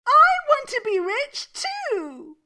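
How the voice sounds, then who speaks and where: Woman playing a high-pitched, greedy, whiny young girl. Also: wildly swooping pitch, slow, pushed and bright, no echo, studio quality, heavily acted. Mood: greedy, eager. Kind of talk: children's storybook cartoon acting.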